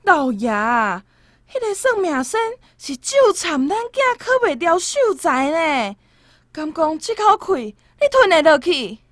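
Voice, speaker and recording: A woman with a Taiwanese accent playing an indignant, sharp-tongued, scolding wife and mother in a radio drama. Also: high-pitched, big pitch swings, takes it slowly, no room sound, clean studio sound, exaggerated voice. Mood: indignant, scornful.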